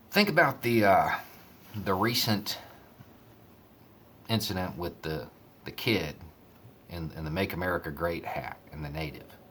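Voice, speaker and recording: Man, very low-pitched voice, measured conversational storytelling, casual commentary, some background noise. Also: fairly bright tone. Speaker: online commentator.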